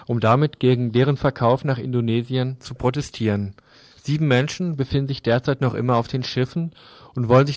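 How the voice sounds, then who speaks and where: A man's voice: mid-range voice, a little mellow, no echo. A man reading scripted news introductions as a radio presenter.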